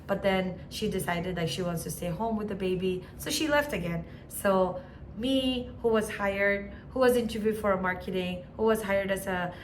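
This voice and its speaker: A woman's voice: medium pitch, moderate pace, slight background noise, poor audio. A woman from Nagaland with a Naga accent, chatting casually about her teaching job and mixing in Hindi and other Indian languages. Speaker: teacher in an international school. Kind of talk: casual chat.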